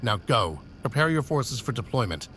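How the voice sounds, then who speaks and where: A man's voice: medium pitch, sing-song, normal speed, a bit bright, a little hiss or hum. A man playing a stern senior officer. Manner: clipped, commanding orders.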